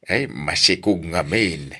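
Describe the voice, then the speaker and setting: A man playing an older, hospitable, talkative village father with a husky voice and an Ilocano accent in an audio drama. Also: deep voice, expressive, takes it slowly, sharp tone, exaggerated voice. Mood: chatty, hospitable.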